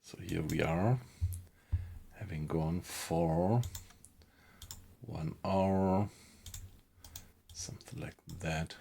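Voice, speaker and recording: A man with a German accent chatting casually with viewers while playing a game, in a relaxed, hesitant way. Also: sits low, very slow, vocal fry, pauses often, slight background noise. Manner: relaxed, hesitant, often chuckling. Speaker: game streamer.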